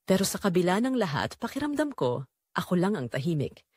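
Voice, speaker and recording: A woman narrating as a guilt-ridden, secretly longing mother in a scripted audio drama, with a measured, confessional delivery. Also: fairly low pitch, big pitch swings, a little mellow, slightly rough, no room sound, clean studio sound. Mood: guilty, wistful.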